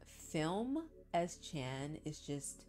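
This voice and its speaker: Low-voiced young woman with a stuffy nose. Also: sing-song, extremely slow, darkish tone, faint music behind. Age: young adult. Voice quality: congested, stuffy-nosed. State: sick with flu. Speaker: reaction channel host. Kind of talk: casual chat to viewers. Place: small bedroom, indoors.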